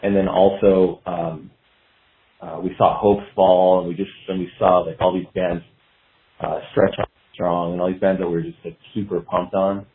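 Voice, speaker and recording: A man's voice: sits low, level tone, moderate pace, a little hiss or hum. A man chatting casually as a musician guest in an interview, looking back in a hesitant, meandering, filler-heavy way. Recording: dull lo-fi roomy call sound.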